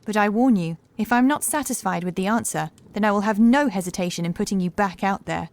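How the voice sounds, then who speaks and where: Woman doing a young housekeeper for a horror audiobook, calm n assured, proper wording, bit guarded and sad. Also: mid-range voice, lightly acted. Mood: wary, firm. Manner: measured, formal, composed. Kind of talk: horror audiobook character acting.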